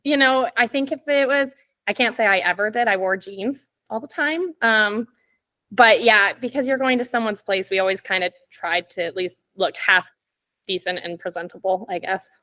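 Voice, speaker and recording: A young woman from Canada chats as a podcast guest, telling stories with frequent laughs and a steady stream of "like" and "um". Her voice sits at an ordinary pitch for a woman. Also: moderate pace. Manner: chatty, laughs often, many "like" and "um" fillers. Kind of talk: casual storytelling chat.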